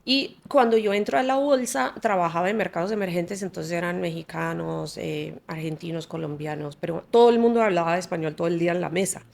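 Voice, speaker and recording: A woman from the United States in her forties whose first language is English, telling her story as a podcast guest in an animated way, laughing often and restarting her phrases. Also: fairly low pitch, brisk, gravelly, hardly any pauses. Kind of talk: candid conversational storytelling.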